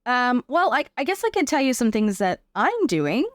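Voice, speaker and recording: A woman speaking as an interview guest, giving a personal account in a reflective, measured and explanatory conversational way. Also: fairly high pitch, big pitch swings, dry recording, clean studio sound. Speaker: podcast guest, actor and advocate.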